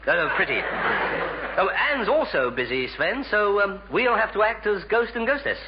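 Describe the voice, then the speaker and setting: A man's voice: high-pitched, animated, moderate pace. A man acting a bumbling, apologetic young English bachelor in a 1950s British radio sitcom, hesitant and stammering. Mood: flustered, eager. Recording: noisy, muffled 1950s radio recording.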